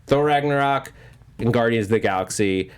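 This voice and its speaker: A man's voice: mid-range voice, moderate pace, clean tone. A man hosting a panel show, chatting informally and jokily in a loose, rambling way. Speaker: host of a movie news panel show. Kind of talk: casual panel discussion of comic-book films.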